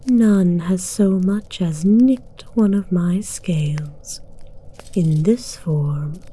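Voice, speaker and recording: A woman playing a haughty, menacing dragon queen in an ASMR roleplay, whispering in an imperious, formal, breathy way. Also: on the low side, mellow, slight background noise. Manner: imperious, slow, deliberate, formal.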